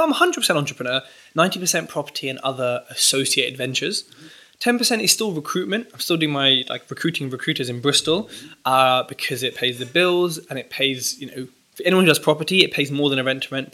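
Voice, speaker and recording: Young male guest, bright forceful tone, lively rambling delivery. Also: fairly high pitch. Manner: animated, rambling with restarts. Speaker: podcast guest, property entrepreneur. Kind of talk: casual interview chat.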